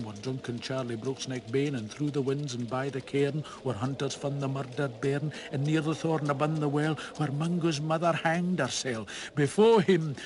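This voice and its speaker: A man giving a dramatic, theatrical recitation of a narrative poem, swinging between hushed and roaring delivery. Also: mid-range voice, noisy background. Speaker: poetry reciter.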